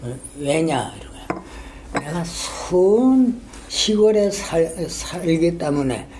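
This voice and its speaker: An older man with a strong Gyeongsang dialect, a retired school principal telling his story in a halting, rambling way in an oral history interview. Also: a little high, animated, a little mellow, a little hiss or hum. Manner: halting, rambling, many fillers and restarts.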